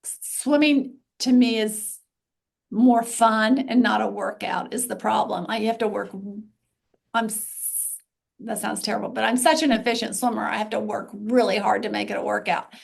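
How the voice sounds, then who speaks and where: A woman's voice: on the high side, steady tone, normal speed, gravelly. A middle-aged woman from Texas hosting a casual podcast conversation, rambling and restarting her thoughts as she talks. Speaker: podcast host.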